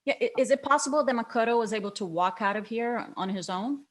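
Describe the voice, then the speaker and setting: A woman acting a police detective in a screenplay table read, questioning in a tentative, halting way. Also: a little high, close to an everyday voice. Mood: probing.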